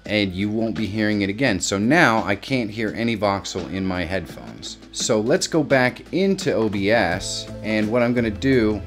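A middle-aged man hosting a casual step-by-step software tutorial. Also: fairly low pitch. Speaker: tutorial host. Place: small home room, indoors.

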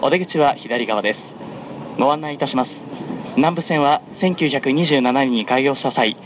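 Guy doing polite formal train conductor announcements, mid pitch, measured, train noise going behind him. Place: onboard a running train, over the announcement system. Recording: clear noise of a moving train. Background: train running noise.

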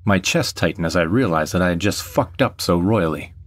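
A man's voice: low-pitched, lively intonation, a little fast. A man reading first-person scripted narration for an audio drama.

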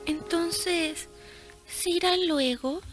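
A sweet little girl in a radio play. She speaks very high and slowly, shy and hesitant, with an innocent air. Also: soft background music, heavily acted. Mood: earnest. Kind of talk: radio drama acting.

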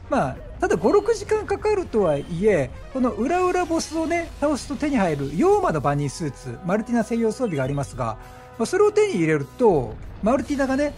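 A man giving chatty, animated gameplay commentary as a gaming streamer, explaining things as he plays and exclaiming at the action. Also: high voice. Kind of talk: excited game commentary and explanation.

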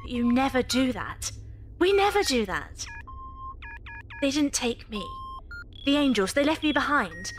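A woman's voice: sits high, big pitch swings, a little slow, pauses often, slight background noise. A woman playing a spirited, questioning female adventurer in an amateur audio drama. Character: spirited, questioning female companion to a time traveller.

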